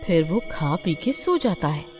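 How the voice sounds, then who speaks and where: A woman's voice: fairly low pitch, sing-song, unhurried, over music. A woman narrating a scripted horror story in a dramatic storytelling manner.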